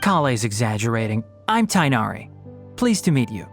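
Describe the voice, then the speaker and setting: A man's voice: mid-range voice, sing-song, normal speed, gravelly, faint music behind. A man playing a polite, wry young forest watcher and mentor in a video game. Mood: friendly, courteous.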